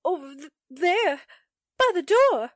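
A woman's voice: very high-pitched, big pitch swings, slow pace, no room sound, clean studio sound, full character voice. An actress playing a timid, easily spooked newspaperwoman's sidekick in 1940s radio drama style, nervous and faltering.

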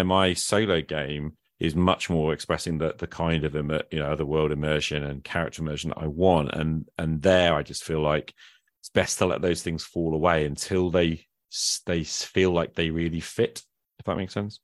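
A man's voice: rumbling low, fairly even intonation, moderate pace, no room sound, clean studio sound. A man hosting a casual, reflective conversation, often ending his points with little questions to the listener. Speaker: podcast host. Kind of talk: casual conversation about roleplaying games.

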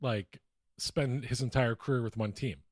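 A man with a mid-pitched voice chats casually about sports as a podcast co-host. His talk is informal and slangy, and the sound is close and dry. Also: measured pace, slightly rough, dry recording.

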